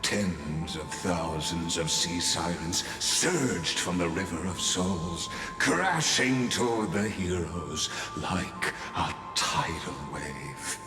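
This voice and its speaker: A taunting, theatrical villain from a video game, narrating doom with pompous relish. The voice is low and extremely unhurried, ringing in an echoey hall over music. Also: male voice. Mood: grim irony. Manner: pompous, mocking, drawn-out delivery. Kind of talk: dramatic scripted game monologue.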